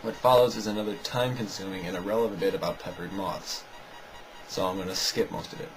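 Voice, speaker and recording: A man's voice: sits low, steady tone, fast talker, music in the background, poor audio. A man giving a scripted, argumentative rebuttal commentary in a sarcastic, dismissive way. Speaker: video narrator rebutting another video.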